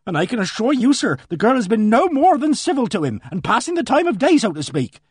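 A man's voice: extremely high pitch, very animated, brisk, husky, dry recording, studio quality, pitched above the performer's own voice, exaggerated voice. A man voicing an earnest, indignant middle-aged village charwoman who rambles breathlessly. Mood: agitated. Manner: breathless, rambling country speech.

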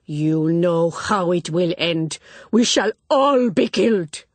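A woman voicing a flamboyant, superstitious middle-aged lady, loud and theatrical. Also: fairly low pitch, animated, takes it slowly, no echo. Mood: dramatic. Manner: theatrical, emphatic, loud declarations. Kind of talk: audiobook character voice.

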